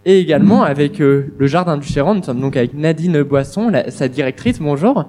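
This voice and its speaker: Male radio host, mellow tone, somewhat high pitch, informal hesitant introductions. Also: lively intonation, fairly quick, a little hiss or hum. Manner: informal, hesitant, filler-heavy. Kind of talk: hosting a local radio interview.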